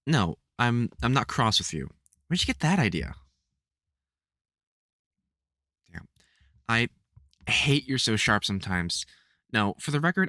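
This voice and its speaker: A man with a British accent playing a caring veteran vampire hunter in an audio roleplay, halting and sweary, exasperated but gentle. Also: medium pitch, no room sound, clean studio sound. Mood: concerned, reassuring. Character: gruff, caring veteran male vampire hunter, protective and worried. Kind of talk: audio roleplay, speaking to an unheard listener.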